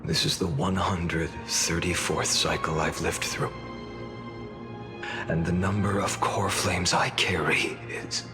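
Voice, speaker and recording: Grave game warrior, weary, menacing, low-pitched, deliberate slow phrasing, breathy edge, music behind. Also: male voice, flat delivery. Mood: solemn. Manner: solemn, measured, breathy menace. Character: grave, weary male warrior worn by countless cycles, menacing. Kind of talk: video game voice acting.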